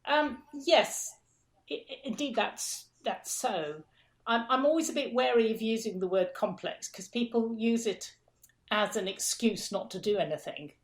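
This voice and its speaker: A woman's voice: a little high, a little slow. A woman being interviewed as the executive director of a food council, explaining in a frank, emphatic way. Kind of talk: interview answers.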